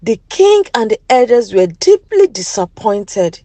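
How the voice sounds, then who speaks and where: A woman's voice: on the high side, very animated, measured pace, mellow, no echo. A woman with a Nigerian accent narrating a folktale in a dramatic storytelling style.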